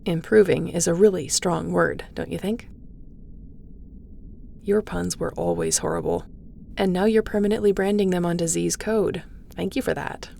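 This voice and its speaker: A woman's voice: on the low side, fairly even intonation, moderate pace, creaky, warm tone, slight background noise. A woman playing a guarded, wry starship captain and ace pilot in an intimate audio roleplay, with a sardonic, conversational way of talking. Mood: wry, exasperated. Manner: sardonic, conversational, sighing and teasing.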